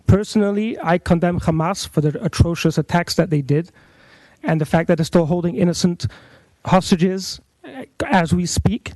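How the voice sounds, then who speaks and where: A man with a high, mellow voice makes an earnest, measured appeal at a council meeting. He speaks as a rabbi into a podium microphone, and the sound is close and dry. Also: no room sound. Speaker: rabbi, public commenter. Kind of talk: public comment at a council meeting. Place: council chamber.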